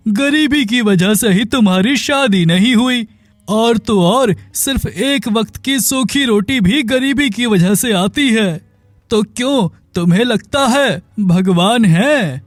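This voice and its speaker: Male actor as needling cartoon villager: sceptical, mocking, slow, over background music. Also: sits high, dry recording. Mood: taunting. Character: taunting, sceptical village man.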